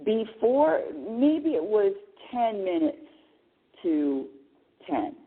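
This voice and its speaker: A woman's voice: mid-range voice, very animated, very slow. A middle-aged woman hosting a spiritual radio talk show, talking animatedly with frequent restarts, repeated words and laughs. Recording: phone-like lo-fi line.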